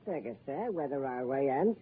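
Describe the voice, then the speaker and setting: Brief: a deferential conductor, very husky voice, lilting pitch, tinny old broadcast. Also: male voice, expressive, moderate pace. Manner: deferential, hesitant. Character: deferential railway conductor, adult man. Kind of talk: 1940s radio acting.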